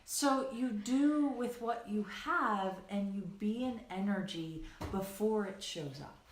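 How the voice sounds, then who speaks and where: A woman's voice: medium pitch, takes it slowly, slight background noise. Bubbly woman host in a roomy space. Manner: giggly, gushing, rambling with frequent "right" and "like". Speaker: co-host of a coaching livestream. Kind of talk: enthusiastic casual chat to an online audience. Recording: roomy, echoing sound.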